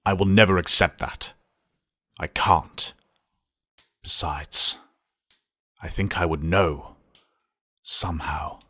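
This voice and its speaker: A man acts out a resolute older mentor in an audio drama. The voice is extremely deep with a forceful edge and a dry, close sound. Delivery is grave and measured. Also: steady tone, no echo, heavily acted. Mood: grave resolve.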